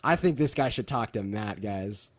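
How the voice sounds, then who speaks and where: A man's voice: lowish voice, expressive, normal speed. This is a man hosting an informal, heated debate. He presses his points insistently and goads his opponent as he goes, in a combative, conversational style. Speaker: debate host.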